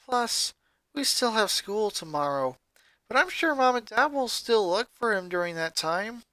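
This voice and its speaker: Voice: medium pitch, big pitch swings, unhurried, fairly bright tone, dry recording, pitched above the performer's own voice. A story reader voicing a protective eldest teenage sister, a girl who speaks in a firm, earnest, emphatic way. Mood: firm resolve.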